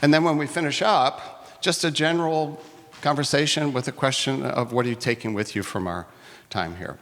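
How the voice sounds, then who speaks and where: Mid-pitched man, chatty moderator, in a reverberant hall with faint noise. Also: normal speed, a bit bright. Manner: chatty, joking, many restarts. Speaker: workshop moderator. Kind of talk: moderating a live community workshop. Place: community hall, live event.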